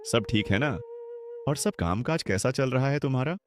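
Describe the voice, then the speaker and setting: A man's voice: medium pitch, lively intonation, normal speed, close to an everyday voice. A man voicing a generous older village headman in a cartoon, talking in a confident, benevolent way. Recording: background music under voice.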